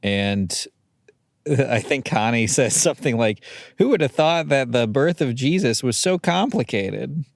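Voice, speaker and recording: A man's voice: medium pitch, animated, a little slow, gravelly, no echo, clean studio sound. A man chatting casually on a podcast in a wry way.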